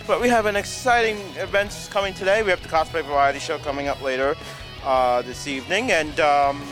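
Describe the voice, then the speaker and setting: Young guy cohosting con coverage, goofy riffing, kinda high voice, music going behind him. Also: a little fast, rough recording. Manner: playful, riffs on jokes and running gags. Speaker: co-host of convention coverage. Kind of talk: casual joking banter.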